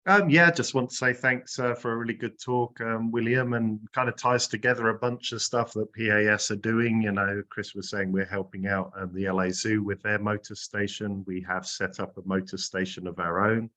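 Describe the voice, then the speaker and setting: Man, very low voice, bright forceful tone, meeting host, casual, lots of "you know". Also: little pitch movement, moderate pace, hardly any pauses. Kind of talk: closing remarks on a video call.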